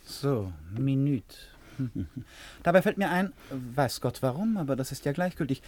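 A man's voice: mid-range voice, expressive, moderate pace, a little mellow, natural voice. An actor playing an eccentric, restless man of about thirty in a German radio play, abrupt and theatrical. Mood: curious, probing.

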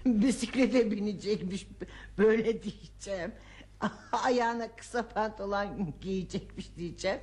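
A woman's voice: medium pitch, measured pace, darkish tone, lightly acted. An actress playing an elderly housewife and grandmother in a radio play, scolding and teasing with frequent exclamations. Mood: mocking amusement. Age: older. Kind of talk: radio theatre acting.

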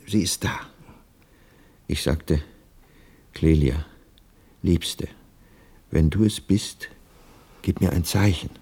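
Low, husky, anxious widower. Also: male voice, warm tone, exaggerated voice. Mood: hushed, suspenseful. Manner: earnest, insistent, pleading. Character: anxious, earnest widower bent on summoning dead wife. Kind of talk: German radio play acting.

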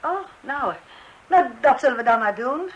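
Radio drama housewife: very high voice, polite, flustered, hesitant delivery. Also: female voice, animated, moderate pace. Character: flustered, polite housewife, wife of a clumsy do-it-yourselfer. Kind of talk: radio play acting. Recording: old radio play recording.

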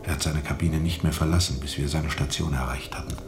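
Very deep-voiced, haunted river captain. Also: male voice, fairly even intonation, quick pace. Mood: weary, subdued. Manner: brooding, insistent, dramatic repetition. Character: haunted male river steamer captain recounting a dark journey. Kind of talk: radio play monologue.

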